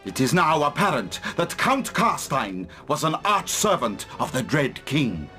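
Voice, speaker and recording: A pompous occult wizard in a fantasy game. His voice sits fairly high and moves theatrically up and down. He talks at length with scholarly self-importance, over faint music. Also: very animated, measured pace, sharp tone, heavily acted. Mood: grave, dramatic. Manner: pompous, long-winded, theatrical. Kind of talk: fantasy video game cutscene acting.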